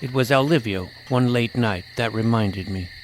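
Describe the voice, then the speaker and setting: A man's voice: mid-range voice, moderate pace, slight background noise, close to an everyday voice, low-quality recording. A man playing a plucky boy hero, telling his own fantasy adventure in scripted first-person narration and dialogue. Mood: earnest storytelling. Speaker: narrator and lead character.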